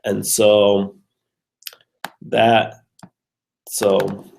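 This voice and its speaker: A man's voice: on the low side, level tone, very slow, vocal fry, darkish tone, slight background noise, rough recording. A man casually walking through code on screen like a programming student, thinking aloud in a hesitant, unsure way.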